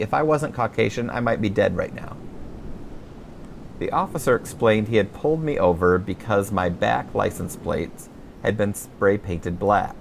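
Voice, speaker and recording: A man reading his own memoir as a scripted audiobook, telling it in a wry, expressive storyteller's manner. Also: on the low side, creaky, slight background noise.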